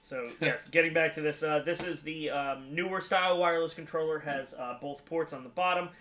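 A man with a fairly high, bright voice co-hosts a casual talk. He speaks haltingly and conversationally, often searching for the next word. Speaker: co-host. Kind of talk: casual chat about gaming and toys. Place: room with glass display cases, indoors.

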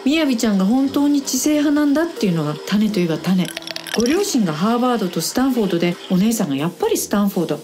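A woman's voice: a little high, sing-song, very fast. An actress playing a tipsy, lovelorn woman in a radio drama, sighing yet polite. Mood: wistful. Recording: music behind the voice.

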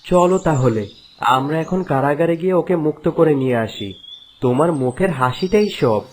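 High-voiced devoted young prince, played by an actor. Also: male voice, lively intonation, normal speed, lightly acted. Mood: tender, yielding. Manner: concerned, earnest. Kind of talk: cartoon fairy-tale voice acting. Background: background music.

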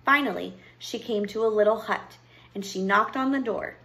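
A woman voicing a stern, knowing old grandmother who tells a fairy tale in a deliberate, probing way. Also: medium pitch, fairly bright tone, close to an everyday voice, poor audio. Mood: measured storytelling. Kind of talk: storybook character voice.

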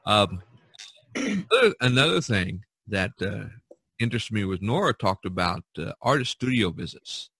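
A man's voice: lowish voice, big pitch swings, normal speed, pushed and bright, breathy edge, no echo. A man hosting a casual podcast chat over a video call, rambling with many fillers and restarts. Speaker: podcast host.

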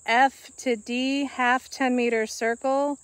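A woman calling out the movements of a dressage test in short, clipped commands with pauses between them. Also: sits high, fairly even intonation, a bit bright, dry recording.

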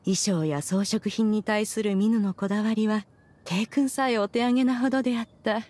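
A woman's voice: mid-range voice, steady tone, normal speed, a little mellow, lightly acted. A woman voicing a proud, regal ancient immortal in an anime game, a stern but caring master with stately, archaic diction. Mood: reminiscing.

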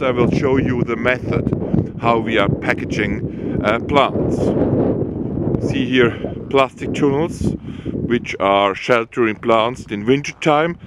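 A man, founder of a plant nursery, giving a walking tour in halting with many pauses. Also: mid-range voice, heavy background noise. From Switzerland. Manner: halting non-native English with frequent uh pauses. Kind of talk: casual walking tour narration. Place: outdoors at a nursery, cold wind. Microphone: camera mic, walking behind the camera.

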